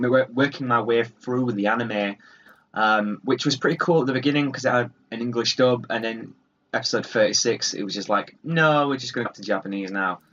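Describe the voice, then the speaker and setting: A man's voice: mid-range voice, fairly bright tone, muffled recording, a little hiss or hum. A man giving casual let's play commentary in a rambling, offhand, dryly wry way. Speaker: gaming video host.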